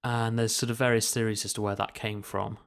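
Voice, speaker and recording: A man with a low voice and very little rise and fall in pitch. He talks as a podcast co-host, explaining casually and qualifying what he says as he goes. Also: flat delivery, fairly quick, vocal fry, fairly bright tone, clear, resonant tone.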